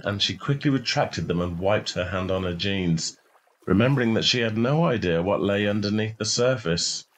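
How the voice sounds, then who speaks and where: A man with a low-pitched voice reads literary fiction as a storyteller. His delivery is scripted and measured, with expressive phrasing throughout. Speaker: short story narrator.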